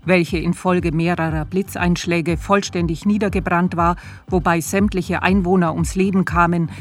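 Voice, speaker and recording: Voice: mid-range voice, lightly acted. A performer playing a sober radio news reader, delivering scripted bulletins in a formal, factual manner.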